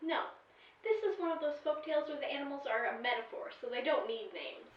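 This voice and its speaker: A woman's voice: high voice, animated, a little fast. A woman voicing a snippy, teasing artificial intelligence companion in an audio drama, with sighs and dramatic emphasis. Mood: storytelling. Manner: sassy, sighing, dramatic emphasis. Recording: echoey, distant, dull-sounding voice.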